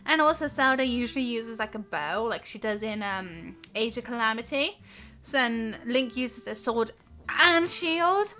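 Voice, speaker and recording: A woman's voice: a little high, expressive, a little slow, sharp tone. A woman live streamer giving casual, chatty, giggly game commentary that rambles along. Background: game music.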